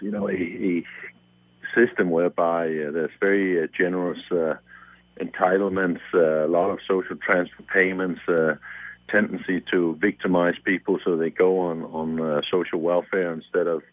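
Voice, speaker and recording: Halting man on a phone line. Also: low voice, fairly even intonation, no echo. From Denmark. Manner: halting, many fillers and repeated words. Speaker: bank executive guest. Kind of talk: phone interview.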